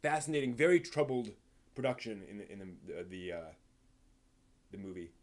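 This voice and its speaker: American man chatting casually, halting and rambling. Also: lowish voice, lively intonation. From East Coast of the United States. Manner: halting, rambling, thinking aloud. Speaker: live stream host. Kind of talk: casual livestream chat, answering viewer questions.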